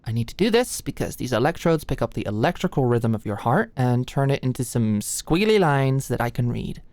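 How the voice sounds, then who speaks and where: Casting: actress as sweet, easily flustered young female medical student for intimate ASMR roleplay; low register, halting delivery, very dry close-miked sound. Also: sing-song, a little mellow, no room sound. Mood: earnest, explaining. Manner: nervous, hesitant, stammering.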